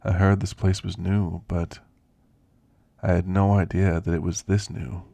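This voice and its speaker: A man playing a kindly elderly werewolf in an ASMR roleplay, with a gravelly voice and a folksy, gently chatty manner. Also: deep voice, flat delivery, a little slow, no room sound, heavily acted. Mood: gentle, friendly. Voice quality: gravelly, creaky. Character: kindly, frail elderly male werewolf, teasing and grandfatherly. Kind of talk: ASMR roleplay, intimate character monologue.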